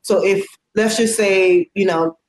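A woman's voice: mid-range voice, steady tone, unhurried, a little mellow, echoey room, a little hiss or hum, poor audio. A woman speaking in casual conversation, earnest and outspoken, with lots of fillers. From United States.

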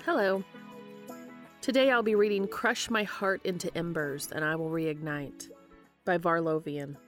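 A woman's voice: on the low side, steady tone, unhurried, over music. A woman hosting and reading fan fiction aloud as scripted narration. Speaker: podcast host and reader.

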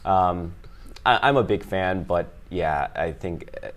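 A man, talking as an interview guest, halting with many restarts and fillers. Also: low voice. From Chicago, USA. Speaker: guest, finance executive. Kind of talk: casual interview conversation.

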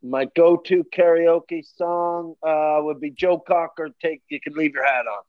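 A man's voice: on the high side, unhurried, no room sound, narrow, tinny audio. A man chatting casually as an interview guest, joking around in an irreverent, sweary way.

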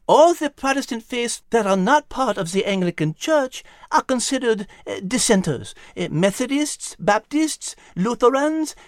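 A woman's voice: fairly high pitch, no echo, clean studio sound. A woman voicing a thoughtful, elegant female animal with a French accent, explaining history in an audiobook story.

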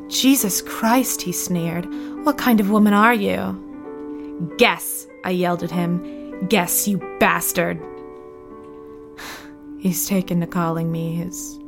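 An actress performs a bitter young 1950s wife in an audio drama diary monologue. Her brooding delivery is very slow and fairly flat, full of heavy pauses, with music playing clearly behind her. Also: fairly low pitch. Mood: seething contempt. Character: bitter, haunted nineteen-year-old 1950s wife reciting her diary.

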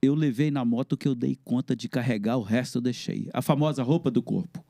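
A man in his sixties with a lilt speaks in an interview. His voice sits mid-range, dark and mellow, with a dry, close sound and no room around it. He talks plainly and stretches his stories out. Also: medium pitch, vocal fry, no room sound. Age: 60s. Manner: plain-spoken, evasive, drawn-out storytelling. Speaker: guest. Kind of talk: casual interview talk.

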